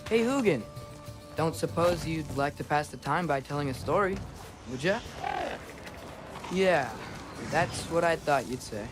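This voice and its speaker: A man's voice: a little high, animated, measured pace, pauses often. A man voicing a plucky, wry young male apprentice in a video game, with music behind. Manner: wry, dry, self-talking. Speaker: game character. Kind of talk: video game cutscene acting.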